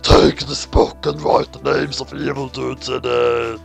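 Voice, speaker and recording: A man's voice: medium pitch, little pitch movement, unhurried, gravelly, soft background music, voice pushed down. A man voicing a scheming male death spirit with a snarling, theatrical delivery in a comic story.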